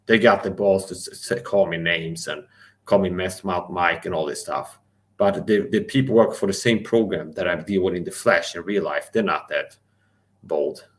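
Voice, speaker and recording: A man with a very low, deep voice holds forth in an angry, defiant livestream rant. He swears freely, repeats himself and challenges his listeners directly. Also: moderate pace. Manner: angry, defiant, profane, repetitive. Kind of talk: ranting live monologue.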